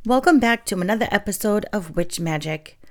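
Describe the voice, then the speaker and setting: A woman's voice: mid-range voice, expressive, fairly quick. A young woman from New England hosting a casual solo podcast, chatting and reading aloud while getting over a sore throat. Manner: chatty and friendly, with fillers and soft laughs. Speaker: podcast host.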